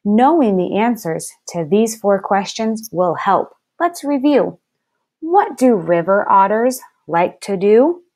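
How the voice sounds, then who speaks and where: A woman speaks as a first-grade teacher leading a read-aloud lesson. Her pace is slow and deliberate, with each word carefully enunciated for young listeners. Her voice sits at a middling pitch for a woman. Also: sing-song, a little mellow. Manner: deliberate, clearly enunciated, instructive.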